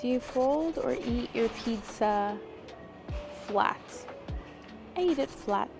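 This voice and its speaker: A woman in her thirties talks to a handheld camera as she wanders a mall, her voice sitting a little on the high side. She speaks in an unhurried, chatty way, slips in small giggles, and phrases things a touch formally. Store music plays clearly behind her. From Washington state, USA. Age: 30s. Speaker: vlogger. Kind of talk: casual vlog chat while shopping. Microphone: handheld gimbal camera.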